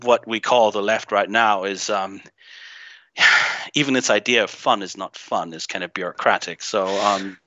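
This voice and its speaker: Bright-voiced man with an unusual mixed accent. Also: on the low side, no echo. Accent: unusual mixed foreign-tinged. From Greece. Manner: halting, emphatic, thinking aloud. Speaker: podcast guest, game writer and designer. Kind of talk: opinionated conversational interview. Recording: dull, band-limited online audio.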